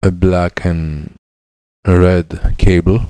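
A man in his thirties with a Greek accent, a repair technician explaining a laptop fix in halting English with many fillers, on a headset mic in his lab. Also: sits low, steady tone, mellow, smooth, no room sound. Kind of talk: hands-on repair tutorial. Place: electronics repair lab, indoors.

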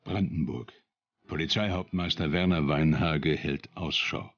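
A man narrating a television documentary in a calm, measured voice-over. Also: extremely low pitch, dull audio. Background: music.

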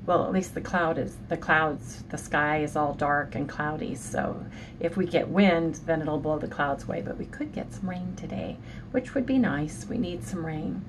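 Hoarse, nasal woman in her sixties; chatty morning vlogger at a desk webcam, lowish voice, clear background noise. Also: normal speed. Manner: chatty, keeps saying "you guys". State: hoarse and nasal from sinus trouble. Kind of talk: casual morning vlog chat. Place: home office with shelves.

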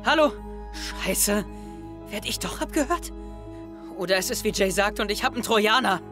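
A man's voice: sits high, expressive, moderate pace. A young man playing an anxious, brooding teenage boy in an introspective, tense audio drama monologue. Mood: agitated. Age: young adult. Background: music.

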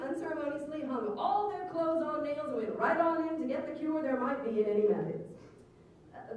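A woman's voice: fairly high pitch, darkish tone. A woman reading old newspaper articles aloud to an audience in a theatrical, arch storytelling manner. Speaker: reader at a public event. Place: hard-walled room with small stage. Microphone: stand mic, recorded by far camera. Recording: echoey hall, distant mic, some noise.

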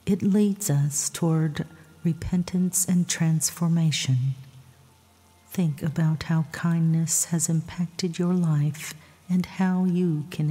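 A woman softly narrating a scripted guided sleep meditation with scripture readings, in a hushed, breathy, soothing and measured voice. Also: on the low side. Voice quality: soft, breathy, husky. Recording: long reverb, soft music behind.

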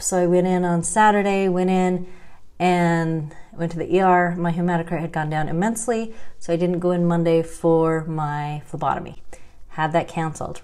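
A woman in her thirties vlogging at home into a close webcam. Her voice sits a little low and her delivery is chatty and wry, with frequent laughs and dry asides. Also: a little mellow. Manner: chatty, wry and self-deprecating, laughs often. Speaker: vlogger sharing her health journey. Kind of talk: casual talking-head vlog. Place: indoors at home, small room.

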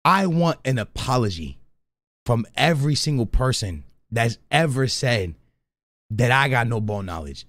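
A man's voice: medium pitch, sing-song, a little slow, a bit bright, dry recording, clean studio sound. A man in his thirties doing slangy, casual reaction commentary as a streamer, given to banter and emphatic repetition. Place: small indoor streaming room. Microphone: close studio microphone on a boom arm.

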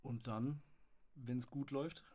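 Relaxed young man hosting a podcast. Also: mid-range voice, slow pace, slightly rough. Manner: relaxed, friendly questioner. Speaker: podcast host, fellow voice acting student. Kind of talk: casual podcast interview. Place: studio at a music academy.

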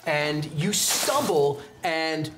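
Young male game master, expressive dramatic storyteller, music playing underneath. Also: on the high side, drawn-out words. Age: young adult. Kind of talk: dramatic tabletop roleplaying narration. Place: indoor studio set.

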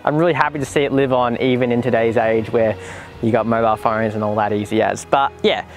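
A man's voice: mid-range voice, steady tone, quick pace. A man in his twenties with an Australian accent, talking casually and enthusiastically to camera in a vlog review. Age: 20s. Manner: enthusiastic, informal. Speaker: vlogger and presenter. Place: in a car and outdoors in the bush.

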